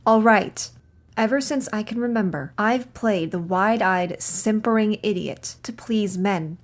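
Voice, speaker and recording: A woman's voice: medium pitch, a little slow, close to an everyday voice. A woman playing a scheming, bitter showgirl moll who feigns innocence, in 1940s radio noir style. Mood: bitter, defiant.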